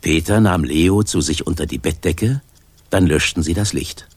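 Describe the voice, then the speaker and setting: A man narrating a children's sea adventure in measured, articulate scripted storybook style. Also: low voice, slightly rough. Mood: calm narrating.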